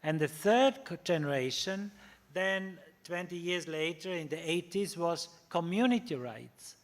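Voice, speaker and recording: A man whose first language is German, giving a public lecture in English, halting often with fillers and restarts. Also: a little high, lively intonation. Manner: pauses on single words, slow in places.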